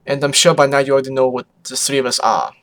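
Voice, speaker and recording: A man's voice: mid-range voice, steady tone, fast talker, a bit bright, lightly acted. Man putting on a dramatic foreign-accented vampire pony lady. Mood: matter-of-fact. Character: flamboyant vampire pony mother, female, theatrical fashion celebrity.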